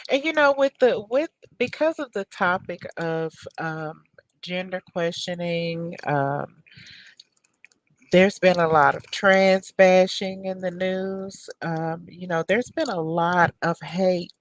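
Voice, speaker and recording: A woman's voice: fairly low pitch, slow pace. A middle-aged woman hosting a talk show over a video call, talking casually and hunting for words with lots of fillers. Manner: rambling, searching for words with many fillers.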